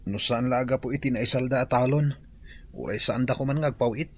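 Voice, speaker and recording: An actor playing a respectful adult son who worries about the family farmland in a radio drama. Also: male voice, mid-range voice, brisk, close to an everyday voice. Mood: concerned. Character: dutiful adult son, anxious.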